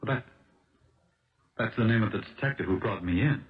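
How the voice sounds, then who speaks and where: Man acting impulsive salesman, American accent, lowish voice, earnest stumbling delivery, 1970s radio drama, muffled lo-fi sound. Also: a little hiss or hum, lightly acted. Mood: weary, agitated. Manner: halting, earnest, restarts phrases. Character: impulsive, fast-talking car salesman protesting his innocence. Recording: dull lo-fi old radio broadcast.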